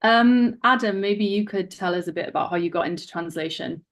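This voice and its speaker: Female panel chair, mid pitch, short upbeat prompts. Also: fairly quick. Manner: brief and encouraging. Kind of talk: moderating a panel.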